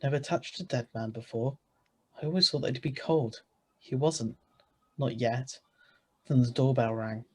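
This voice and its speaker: An actor playing a tense, protective husband in an old-style radio thriller, urgent and plain-spoken. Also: male voice, medium pitch, unhurried, long pauses, slightly rough. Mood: grim narrating. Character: tense, protective ordinary husband, hard-boiled narrator. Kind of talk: 1940s-style radio drama acting and narration. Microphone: video call.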